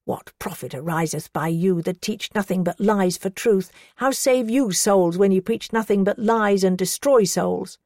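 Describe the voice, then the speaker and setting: A woman's voice: a little slow, hardly any pauses, darkish tone, no echo, studio quality. A woman voicing a defiant, pious woman who scolds her accusers with rising passion. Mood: fervent. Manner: impassioned, scolding, rising pitch.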